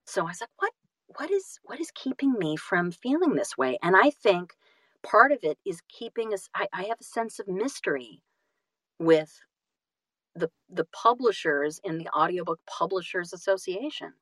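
Casual podcast guest, woman, middle-aged, very animated intonation, self-effacing, repeats phrases, dry sound. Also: on the low side, moderate pace, dry recording. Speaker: audiobook narrator and guest.

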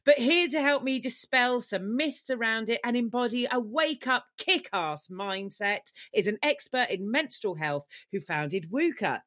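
Woman, podcast host, casual chat, jokey, laughing, high voice, very animated pitch. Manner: chatty, jokey, laughs often.

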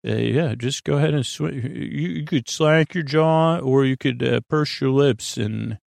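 A man hosting a podcast, delivering a meandering, halting bedtime monologue in a reassuring, gently encouraging way, often trailing off and doubling back. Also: mid-range voice, creaky, dry recording, studio quality. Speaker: podcast host. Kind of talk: rambling bedtime monologue meant to help listeners sleep.

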